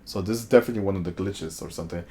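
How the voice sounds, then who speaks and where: A man livestreaming a game, chatting casually in slangy, animated commentary and reading on-screen text aloud. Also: low voice, racing.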